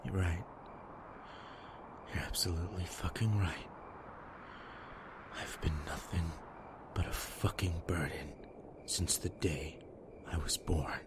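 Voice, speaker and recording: A man acting a despairing, guilt-ridden young brother in an emotional audio drama, with halting, heavy delivery and music behind him. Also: deep voice, heavy background noise. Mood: defeated, self-loathing. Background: background music.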